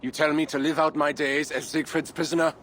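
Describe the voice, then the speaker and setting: An actor playing a proud medieval Frankish count in a dramatic game cutscene, formal, solemn and defiant. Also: male voice, a little high, flat delivery, brisk, a bit bright, a little hiss or hum, lightly acted. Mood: bitter, brooding. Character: proud, doomed medieval Frankish count defending his city.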